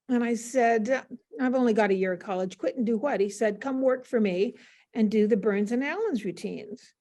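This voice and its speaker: A woman's voice: a little high, darkish tone. An American woman in her seventies, frank and matter-of-fact, reminiscing conversationally as an interview guest on a video call. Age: 70s. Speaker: interview guest, former stage performer.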